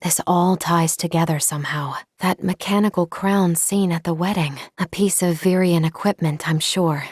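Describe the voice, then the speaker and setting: Actress doing polite android lady from a video game, lowish voice, precise and formal, very dry recording. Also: steady tone, darkish tone, no echo, lightly acted. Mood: calm, polite. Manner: formal, measured, precise phrasing. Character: composed, polite female android crew member, formal and caring. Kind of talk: scripted video game character lines.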